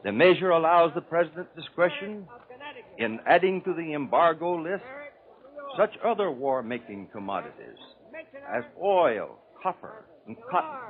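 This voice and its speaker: An American man giving formal political commentary on 1930s radio, speaking in measured, emphatic, oratorical phrases. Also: a little high. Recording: old 1930s radio broadcast, narrow tinny band.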